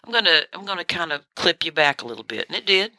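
An older woman with a very sharp, bright voice that sits low after laryngitis. She chats in a folksy, effusive way as a podcast co-host, in a dry recording. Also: lively intonation, fast talker, dry recording, rough recording. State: lowered voice after sore throat and laryngitis. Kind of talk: casual conversational podcast chat.

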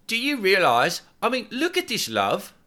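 A man voicing an excitable, bossy young woman who scolds and coaxes her boyfriend in an audiobook. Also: a little high, measured pace, pitched above the performer's own voice, full character voice. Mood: exasperated. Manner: exclaiming, nagging, slangy.

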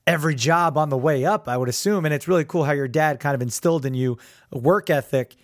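A man's voice: fairly high pitch, fast. A man hosting a business podcast, upbeat and chatty, interviewing a guest over a remote call with many friendly restarts. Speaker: podcast host. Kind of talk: casual interview chat.

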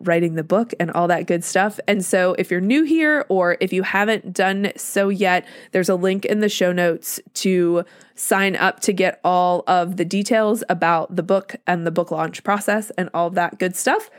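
Stuffy-nosed woman chatting, low and dry. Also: no echo. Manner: chatty, many fillers and self-interruptions. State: stuffy from a cold. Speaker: podcast host writing a book. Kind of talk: casual podcast chat.

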